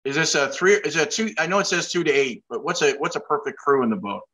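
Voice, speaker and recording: A man's voice: a little high, lively intonation, fast, pushed and bright. An older man hosting a casual interview over a video call, asking rambling questions and making self-deprecating jokes. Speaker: host.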